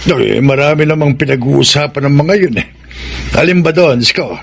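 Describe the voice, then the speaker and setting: An actor playing a calm, protective old grandfather in a radio drama, with a Filipino accent. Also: a little high, moderate pace, gravelly, a little hiss or hum. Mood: curious.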